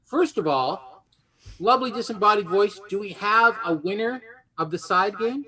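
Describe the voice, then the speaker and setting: A man's voice: high voice, animated, moderate pace, sharp tone, poor audio. A man hosting a game show in an unscripted, joking style.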